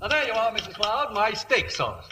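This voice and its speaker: An actor playing a hearty, genial, courteous middle-aged American president in an old-time radio comedy. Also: male voice, sits high, sing-song, normal speed, over loud music. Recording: old radio recording, studio audience noise.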